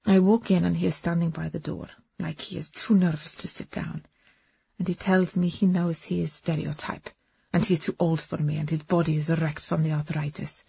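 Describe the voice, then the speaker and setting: A woman voicing a passionate immigrant wife, dramatic and breathy, in an audiobook story. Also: low voice, flat delivery, moderate pace, warm tone, no echo, muffled recording, heavily acted. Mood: wistful, fervent. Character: passionate Eastern European immigrant wife confessing her love affair.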